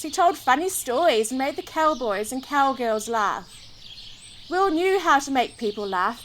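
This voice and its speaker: Female storybook reader, high pitch, lively intonation, expressive, engages listeners, birdsong behind. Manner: expressive, asks the listener questions. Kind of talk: children's story narration. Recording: outdoor background noise with birdsong.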